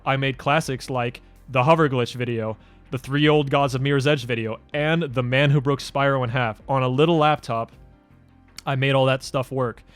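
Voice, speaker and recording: Young male creator, twenties, mid-range voice, relaxed rambling delivery, light music bed. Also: medium pitch, no echo. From Denmark. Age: 20s. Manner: loose and rambling, many "like" fillers and restarts. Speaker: YouTube creator answering fan questions. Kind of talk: casual Q&A vlog.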